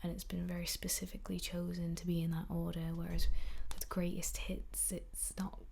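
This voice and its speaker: A young woman whispering in a soft-spoken ASMR chat, slightly croaky while getting over an illness, with frequent ums. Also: fairly low pitch, monotone, normal speed, slight background noise. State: recovering from illness, at times croaky. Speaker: ASMR creator.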